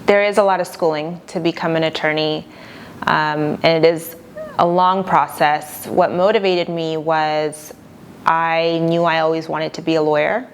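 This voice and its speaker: A woman attorney from Argentina with a low voice and a gravelly, creaky edge. She answers questions in a calm, measured, plain-spoken way. Faint noise sits behind her. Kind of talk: interview answers.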